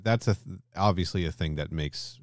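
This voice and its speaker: A man with an extremely deep voice speaks as a podcast co-host. His delivery is casual and conversational. The recording is very dry, free of room sound. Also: normal speed, no echo. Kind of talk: casual discussion.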